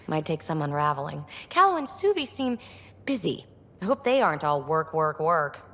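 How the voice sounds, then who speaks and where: A woman playing a breezy, irreverent and curious young alien researcher in a video game, speaking in an animated way. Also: low voice, normal speed, in a large hall, exaggerated voice. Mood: playful, curious. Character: lively, cheeky, curious young female alien researcher and rogue explorer.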